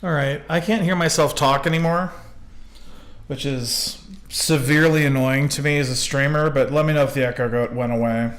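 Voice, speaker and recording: A man's voice: a little high, fairly even intonation, moderate pace, a bit bright. A man live-streaming while he works, chatting casually with viewers and swearing now and then when things go wrong. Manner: casual, swears when annoyed, talks to chat. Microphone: desk microphone.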